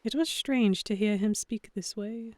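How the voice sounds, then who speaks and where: A mid-range female voice from an actress narrates as the earnest heroine of a historical audio drama. She is measured, introspective and self-conscious. The sound is very dry, with no room echo. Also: medium pitch, darkish tone, close to an everyday voice. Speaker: narrator and character. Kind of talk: scripted first-person audio drama narration.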